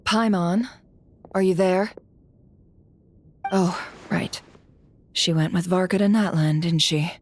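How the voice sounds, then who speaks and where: An actress playing a calm, thoughtful and gentle young woman investigator in a video game. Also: on the low side, slow, long pauses, slight background noise. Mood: quietly musing.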